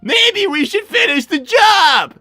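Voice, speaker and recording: A woman's voice: very high-pitched, very animated, takes it slowly, no echo, studio quality, exaggerated voice. A woman playing a brash, hot-headed cartoon character in a live improvised show, speaking emphatically and combatively. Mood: outraged. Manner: emphatic, combative, often shouting. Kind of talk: live in-character improv reading chat messages.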